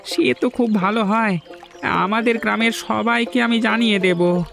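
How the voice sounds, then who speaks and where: A man's voice: sits high, normal speed, creaky, over music. A man playing a timid, earnest young villager in a fairy-tale cartoon, with an anxious, questioning manner. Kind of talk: dramatic dubbed cartoon dialogue.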